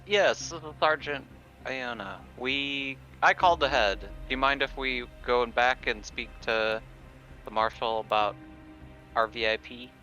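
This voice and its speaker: A man's voice: medium pitch, unhurried, bright, forceful tone, soft background music. A man playing a tabletop roleplaying game, speaking in character as a player, on dull, muffled audio.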